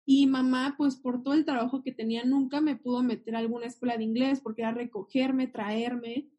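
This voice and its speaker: A woman's voice: sits high, dark tone. A young woman sharing a heartfelt personal faith testimony as a guest. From Mexico. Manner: earnest, heartfelt storytelling.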